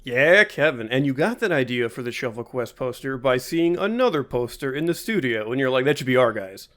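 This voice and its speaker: A man's voice: mid-range voice, big pitch swings, a little fast, fairly bright tone. A young man co-hosting a casual podcast, throwing in dry joking asides. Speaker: podcast co-host.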